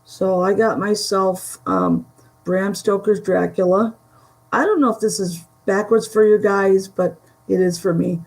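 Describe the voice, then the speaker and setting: A woman from the Buffalo area with a Western New York Great Lakes accent, chatting slowly with many pauses on a live stream from home. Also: medium pitch, lively intonation, a little mellow, low-quality recording. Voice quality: gravelly. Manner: slow, halting, many fillers. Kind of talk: casual live stream chat. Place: home room.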